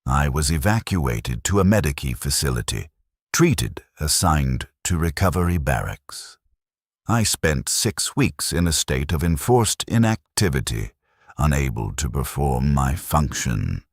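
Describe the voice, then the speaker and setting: Very deep-voiced male narrator. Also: sing-song, a little slow, dry recording, studio quality. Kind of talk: scripted narration, first-person military science fiction story.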